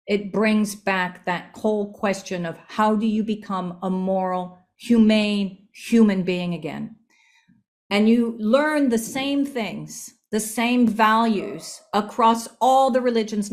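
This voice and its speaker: A woman speaking earnestly as a panel speaker in a group discussion session. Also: medium pitch.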